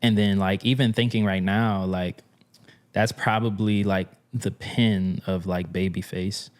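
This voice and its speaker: A man's voice: low voice, fairly even intonation, measured pace, clean tone. A young man from New Orleans, a drummer and music professor, telling stories in a casual, slangy podcast interview. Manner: laid-back, slangy, laughs often.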